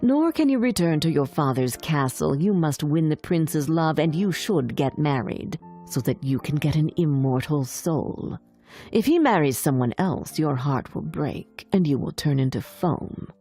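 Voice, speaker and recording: A woman narrator plays an old sea witch from a fairy tale, wicked and foreboding. The words come low and slow, drawn out with sinister menace over music. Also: expressive, dark tone, full character voice. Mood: sinister, warning.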